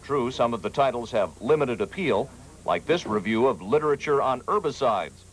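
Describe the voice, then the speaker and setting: A man's voice: mid-range voice, expressive, moderate pace, low-quality recording. An American man working as a television reporter, reading scripted feature narration. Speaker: TV news reporter. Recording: faint background noise, 1980s TV broadcast.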